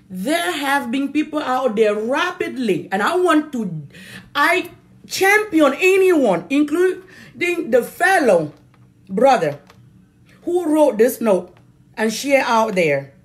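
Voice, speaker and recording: A woman from Cameroon, a native French speaker with a Cameroonian accent, giving an impassioned political address in an emphatic, deliberate way, repeating phrases for stress. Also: high voice. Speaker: political activist addressing viewers.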